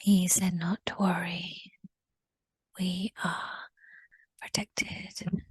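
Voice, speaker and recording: A woman whispering a slow first-person recollection in a guided session, often repeating short phrases. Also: lowish voice, level tone, breathy edge, no room sound.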